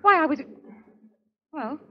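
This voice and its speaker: A woman's voice: high-pitched, animated, takes it slowly. An actress playing a plucky but jittery young female companion in a 1930s radio drama, chatty, wry and apprehensive, on a muffled old recording. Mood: flustered, relieved.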